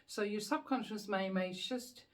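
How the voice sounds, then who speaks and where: A woman's voice: medium pitch, a little slow. A woman with a Swiss accent, a hypnotherapist explaining the subconscious mind to camera in an earnest, repetitive way with rhetorical questions. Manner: earnest, repeats words while thinking, rhetorical questions. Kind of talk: self-help explainer to camera.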